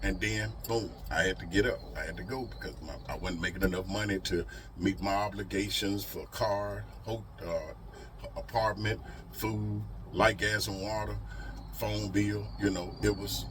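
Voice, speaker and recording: A man's voice: on the low side, steady tone, moderate pace, distant mic, background noise. A middle-aged man talks casually to his vlog audience in a folksy, emphatic way, repeating phrases for effect. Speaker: truck driver vlogger.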